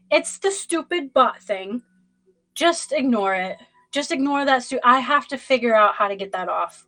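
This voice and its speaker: A woman's voice: fairly high pitch, sharp tone, rough recording. A woman hosting an informal livestreamed tarot reading, talking straight at the listener in a blunt, emphatic and dramatic way. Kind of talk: informal live zodiac card reading.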